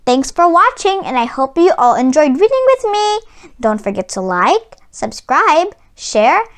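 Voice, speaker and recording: A woman reading a children's story aloud as a host, in an expressive, dramatic way, drawing out words for emphasis. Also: high-pitched, a little slow.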